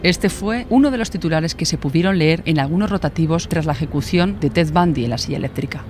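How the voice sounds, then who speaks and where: True-crime podcast narrator, male, voice on the higher side, scripted delivery over clearly audible background noise. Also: fast.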